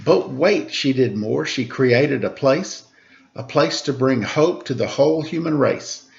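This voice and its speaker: A man teaching children, reading a rhyming picture book aloud with a steady rhythm, then chatting casually about it. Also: mid-range voice, a little slow, gravelly, dull audio. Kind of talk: storybook read-aloud for children.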